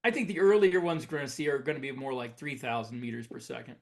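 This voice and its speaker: A man co-hosting a podcast, explaining things in a casual, measured and somewhat halting way as he discusses technology. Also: medium pitch, vocal fry. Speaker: podcast co-host. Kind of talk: casual speculative tech discussion.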